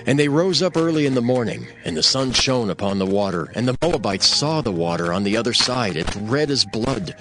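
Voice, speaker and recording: A male narrator reads scripture as formal drama. His solemn storytelling moves widely in pitch, with music clearly audible underneath. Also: animated, a little fast, hardly any pauses, low-quality recording.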